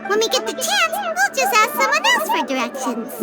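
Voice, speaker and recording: A woman's voice: very high voice, wildly swooping pitch, a little fast, full character voice. A woman voicing a tiny, chatty floating companion creature in a video game who calls herself by name instead of saying I, eager and exclamatory, with game music behind. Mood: puzzled. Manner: talks about herself in the third person. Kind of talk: video game cutscene dialogue. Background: loud game soundtrack music.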